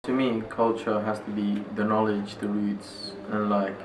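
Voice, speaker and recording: A man's voice: fairly low pitch, monotone, measured pace. A young man from Italy, talking hesitantly with many filler words in an interview. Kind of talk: personal reflection in interview. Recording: echoey, distant mic with loud ambient noise.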